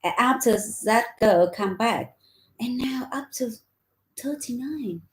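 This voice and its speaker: A woman's voice: fairly high pitch, lively intonation, slow pace. A woman from Vietnam in casual chat, speaking hesitantly in simplified grammar with many "I mean, like" fillers. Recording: echoey room.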